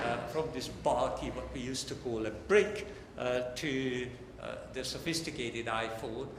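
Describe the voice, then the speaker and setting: An older man giving a technical academic lecture in a halting, deliberate way. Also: mid-range voice, gravelly. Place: large lecture hall. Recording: echoey hall with long reverb, background noise.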